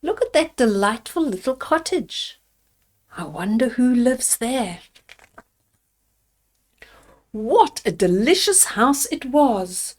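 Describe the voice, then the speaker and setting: Very slow-talking woman reading fairy tales. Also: high-pitched, darkish tone. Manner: deliberate, addresses children with simple questions and comments. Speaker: storyteller for children. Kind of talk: fairy tale read-aloud with asides to young listeners.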